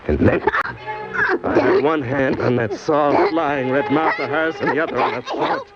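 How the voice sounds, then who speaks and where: A man's voice: on the high side, big pitch swings, unhurried. An actor playing a cynical, slangy hardboiled criminal who tells his own crime story in an old-time radio drama. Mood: intense, straining. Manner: tough, wry, slangy. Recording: dull old radio recording, music behind.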